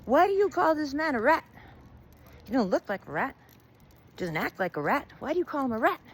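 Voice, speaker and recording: A small storybook rat voiced by a woman storyteller speaks up in a high, swooping voice. He is wounded and indignant, protesting with emphasis as he pleads his case to humans. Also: sing-song, brisk, slight background noise. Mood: puzzled, protesting. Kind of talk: oral storytelling.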